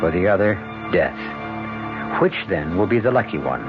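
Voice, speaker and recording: A man's voice: deep voice, very animated, moderate pace. A man hosting an old-time radio mystery with dramatic scripted narration. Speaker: host and narrator. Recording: muffled old radio recording. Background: music.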